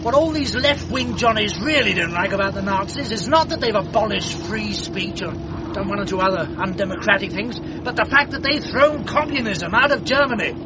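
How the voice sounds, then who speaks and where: An actor playing an exuberant, pompous 1930s British gentleman with reactionary views in a radio drama, speaking in a declamatory way. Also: high voice, animated, moderate pace. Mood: smug. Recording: muffled, noisy outdoor vehicle ambience.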